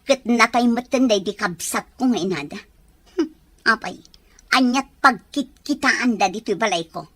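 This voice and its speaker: A woman's voice: a little high, expressive, normal speed, fairly bright tone, no room sound. A woman acting a harsh, bossy aunt in a drama, scolding and commanding. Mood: bitter, resentful. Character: harsh, bossy aunt resentful of orphaned relatives. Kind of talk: Ilocano radio drama acting.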